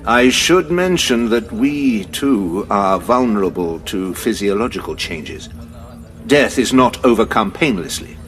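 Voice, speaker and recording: A grave, deep-voiced general. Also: male voice, lively intonation, a bit bright, soft background music. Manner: measured, formal briefing. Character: grave male general, formal and heavy.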